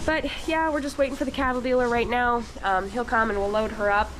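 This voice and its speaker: A woman's voice: fairly high pitch, steady tone, brisk. A woman who works on a dairy farm, talking casually to viewers with a stuffy, congested voice from a cold. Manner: chatty, talks straight to viewers. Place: dairy barn, with calves and cows. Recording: noisy barn recording.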